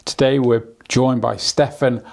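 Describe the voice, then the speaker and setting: A man's voice: medium pitch, fairly even intonation, a little slow, vocal fry, darkish tone, a little hiss or hum. A man hosting a podcast in a casual, jokey, informal way. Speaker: podcast host. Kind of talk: casual, chatty interviewing.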